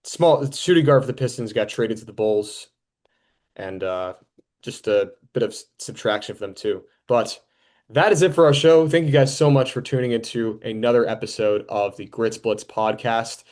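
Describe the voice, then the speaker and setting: A man's voice: medium pitch, normal speed, darkish tone. Young man hosting a sports podcast. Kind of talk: casual sports talk.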